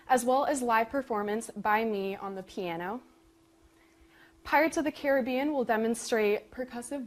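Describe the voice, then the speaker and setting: Young woman presenting a student project. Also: a little high. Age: young adult. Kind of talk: live spoken presentation.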